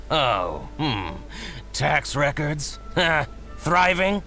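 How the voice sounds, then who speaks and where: A male actor playing a jealous, excitable merchant in a video game, with a theatrical, exclamatory delivery. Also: fairly high pitch, extremely slow, faint music behind, exaggerated voice. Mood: shocked, jealous. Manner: theatrical, exclamatory, fawning then outraged.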